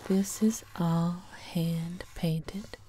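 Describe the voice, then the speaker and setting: A woman in her thirties doing ASMR in a slow, soothing whisper, close to the mic. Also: fairly low pitch, fairly even intonation, mellow, husky, low-quality recording. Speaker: ASMR video creator. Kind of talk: ASMR whispering, gentle makeup roleplay.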